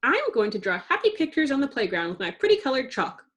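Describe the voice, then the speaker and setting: A woman's voice: sits high, big pitch swings, quick pace, pitched above the performer's own voice. A woman voicing a sweet little superhero girl from a cartoon storybook, eager and proud in manner. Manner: bright-eyed, proud, eager.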